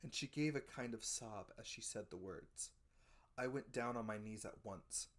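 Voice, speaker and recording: A narrator giving a dramatic scripted reading of a classic adventure story, with expressive, theatrical emphasis. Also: mid-range voice, measured pace. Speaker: audiobook narrator.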